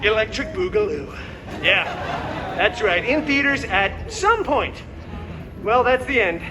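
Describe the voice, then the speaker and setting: A man's voice: high-pitched, big pitch swings, measured pace. A man playing a cocky, wisecracking comic-book antihero, telling a fairy tale theatrically to a live audience with sarcastic asides. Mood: boastful, sarcastic. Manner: sarcastic, self-mocking asides. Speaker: costumed character performer hosting live story show. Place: outdoor theme park show, filmed from audience. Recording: noisy outdoor crowd recording of live show.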